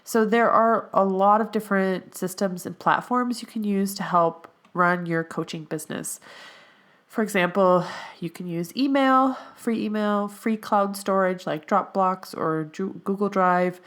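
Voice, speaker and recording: A woman's voice: mid-range voice, measured pace, a bit bright. Nasal-sounding woman chatting on a podcast. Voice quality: nasal from allergies. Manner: chatty, with frequent fillers. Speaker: podcast host, health coach in training. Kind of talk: casual solo podcast monologue.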